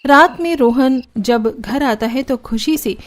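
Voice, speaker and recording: A woman's voice: high voice, slow, faint music behind. A woman reading scripted storybook narration for a cartoon.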